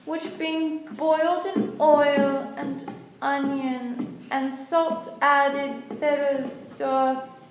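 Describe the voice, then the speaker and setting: A woman's voice: high-pitched, little pitch movement, very slow, exaggerated voice. A woman playing an earnest, naive young medieval damsel in a radio play, speaking formally and guilelessly. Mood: rambling. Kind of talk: old-time radio play acting. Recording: echoey room, music behind.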